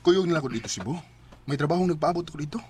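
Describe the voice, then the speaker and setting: Lively, high-pitched Bisaya buddy. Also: male voice, very fast, faint music behind. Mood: persuasive, upbeat. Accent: Filipino, Bisaya. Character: breezy, persuasive construction-worker pal who urges his friend on. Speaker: actor in radio drama. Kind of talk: Bisaya radio drama acting. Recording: clearly noisy drama recording.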